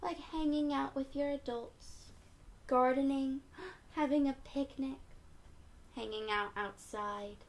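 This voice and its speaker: A woman reading a picture book aloud to young children in a gentle, encouraging way, speaking directly to them. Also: high voice, steady tone, slow. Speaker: children's read-aloud storyteller.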